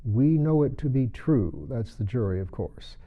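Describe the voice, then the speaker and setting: A man's voice: mid-range voice, lively intonation, moderate pace, narrow, tinny audio, close to an everyday voice. Man playing a pompous, muddled storybook king. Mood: muddled, triumphant. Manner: pompous, declaiming.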